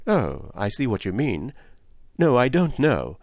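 A man reads the part of a composed English gentlewoman in a mystery audiobook. She is polite and measured, faintly perplexed, with an animated lift in the pitch. The recording is very dry. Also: gravelly, dry recording, exaggerated voice.

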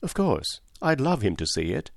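A man's voice: medium pitch, very animated, moderate pace, vocal fry, dry recording, studio quality, pitched above the performer's own voice. A male narrator voicing a kind, candid young English woman who talks in an earnest, confiding, slightly plaintive way. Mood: bright, fond. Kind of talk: audiobook character voice.

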